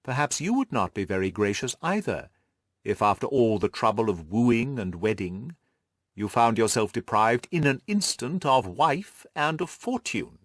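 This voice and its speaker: Audiobook gentleman detective, played by a male reader: assured, methodical, very animated pitch movement, close dry sound. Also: measured pace, dry recording. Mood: wry, reflective. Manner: precise, methodical, didactic explaining. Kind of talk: audiobook character dialogue.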